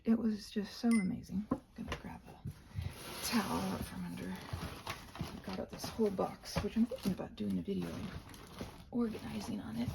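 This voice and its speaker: A woman chatting in a rambling ASMR craft-video style, thinking aloud in a scattered way, with a breathy voice that often slips into a whisper. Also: mid-range voice, noisy background. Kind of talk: rambling ASMR craft chat while painting.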